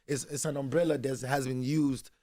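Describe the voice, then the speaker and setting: A man's voice: medium pitch, little pitch movement, normal speed, a little mellow, no room sound, studio quality. A man from Nigeria, a guest musician chatting loosely in an interview, leaning on "you know what I'm saying.". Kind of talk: casual interview chat. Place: radio studio. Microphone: studio microphone.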